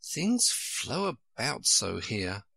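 Man playing a gentle, polite little girl. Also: mid-range voice, big pitch swings, unhurried, gravelly, breathy edge, dry recording, heavily acted. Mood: plaintive. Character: polite, plaintive seven-year-old girl. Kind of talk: storybook character reading.

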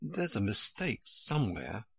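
A man's voice: lowish voice, sing-song, measured pace, creaky, sharp tone, husky, no room sound, heavily acted. A man voicing a polite, earnest young storybook girl who questions things in a puzzled, proper way. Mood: reasoning.

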